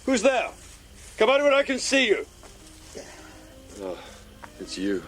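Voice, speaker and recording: A man's voice: extremely high pitch, huge pitch swings, slow pace, fairly bright tone, faint music behind, heavily acted. An actor playing a brusque, commanding man in a radio drama, with a curt, dramatic manner. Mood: suspicious, challenging.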